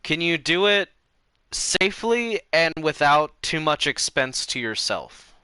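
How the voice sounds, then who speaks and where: A man's voice: on the high side, big pitch swings, a little slow, sharp tone, clear, resonant tone, no echo. A man chatting casually while livestreaming a game, rambling and circling back over his own sentences. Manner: rambling, easily distracted, repeats himself.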